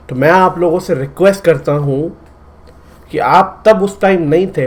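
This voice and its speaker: A man's voice: a little high, a little mellow. A man with a Delhi Hindi accent from India, hosting a casual reaction video in an earnest, sometimes pleading way.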